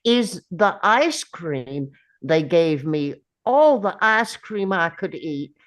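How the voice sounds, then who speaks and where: A woman playing a slow, simple, earnest young Southern soldier man in a script table read, speaking plainly. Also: lowish voice, expressive. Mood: earnest narration.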